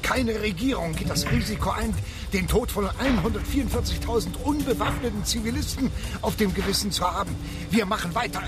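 An actor playing a gruff, defiant male leader of a spaceship venture in a radio drama. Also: high voice, expressive, a little fast, music in the background. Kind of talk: German radio drama acting.

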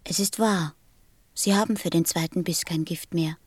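A woman playing a gentle, wistful small boy from a star in a German radio play, speaking softly, tenderly and solemnly. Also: on the low side, normal speed, husky, no echo. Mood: anxious, pleading. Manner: tender, solemn, simply spoken.

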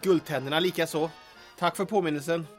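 A man's voice: a little high, soft background music, natural voice. A man playing a flippant, muttering mechanic in an audio drama, with a dry, sarcastic manner. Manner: sarcastic, deadpan.

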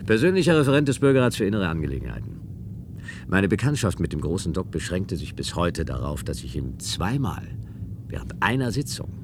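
Ein Schauspieler spielt im Hörspiel einen gewandten, flapsigen Regierungsreferenten, der höflich und ironisch plaudert. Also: male voice, low voice, sing-song, brisk. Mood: explaining. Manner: breezy, ironic, chatty. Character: glib, flippant government aide, courteous and teasing. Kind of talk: German radio play acting. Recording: radio play with clear background noise.

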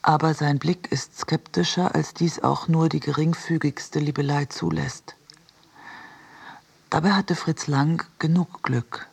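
A reader delivering a scripted literary essay for radio in a measured, deliberate way. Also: medium pitch, fairly even intonation, creaky, husky.

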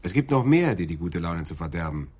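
Crime radio drama: stern senior detective, low voice, pointed and factual delivery. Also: male voice, very animated, brisk, a little mellow, breathy edge, full character voice. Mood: matter-of-fact. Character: senior police detective, stern, matter-of-fact. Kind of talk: radio play acting.

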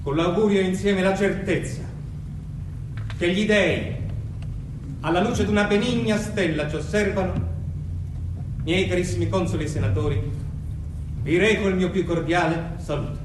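In a noisy, distant theatre recording, an actor performs a young Roman emperor unhinged by power. His high voice delivers grand, pompous oratory with halting turns, swimming in heavy echo. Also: close to an everyday voice. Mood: solemn, pompous. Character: young, mad, pompous Roman emperor turning foul-mouthed. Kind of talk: theatrical monologue, formal oration to the senate. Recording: echoey, distant, noisy live stage recording.

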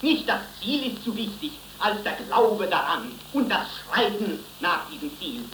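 An actress playing a fervent young orator rallying youth in a 1930s radio play, declaiming solemnly and emphatically. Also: female voice, medium pitch, big pitch swings, measured pace, a bit bright. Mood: fervent, proclaiming. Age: young adult. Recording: echoing, faintly noisy 1930s radio recording.

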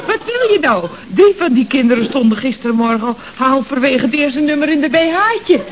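A woman's voice: sits high, animated, a little fast. A woman playing a chatty, cheeky, matter-of-fact employee in a radio comedy. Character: chatty, cheeky female employee of a building firm. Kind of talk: 1970s Dutch radio comedy acting. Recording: muffled old radio recording, faint noise.

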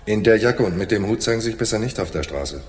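An actor playing a cool, wry private detective in a hardboiled crime radio play, laconic and sardonic. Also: male voice, lowish voice, expressive, quick pace, husky. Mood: urgent, insistent. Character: wry, cool male private detective narrating his case.

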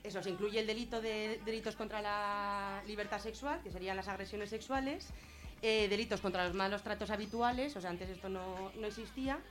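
Female radio guest, Spain accent, medium pitch. Explains in everyday words, halting and thinking aloud, unscripted. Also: faint music behind. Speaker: guest explaining gender-violence legislation on a radio show. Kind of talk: informal explanatory talk.

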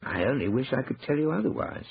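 A man voicing a shrewd older gentleman in an audiobook, politely rueful and slyly composed. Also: medium pitch, very animated, fast talker, vocal fry, breathy edge, dull audio, pitched above the performer's own voice, heavily acted.

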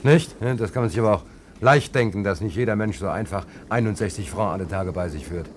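An actor playing a flustered, evasive fare dodger in a radio play, stammering and repeating himself ingratiatingly. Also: male voice, fairly low pitch, fast talker, a little hiss or hum, close to an everyday voice. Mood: pleading, ingratiating. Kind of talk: German radio play acting.

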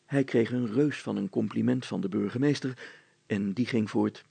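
Gravelly male storyteller. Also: on the low side, normal speed, warm tone, no echo. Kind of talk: dramatic children's story narration.

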